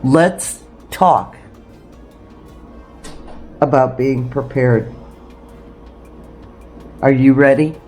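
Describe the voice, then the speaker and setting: Low-pitched, slow-paced woman, earnest and emphatic. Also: steady tone, darkish tone, soft background music. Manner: emphatic, earnest, full of rhetorical questions. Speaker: homesteading video host. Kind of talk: direct-to-viewer preparedness talk.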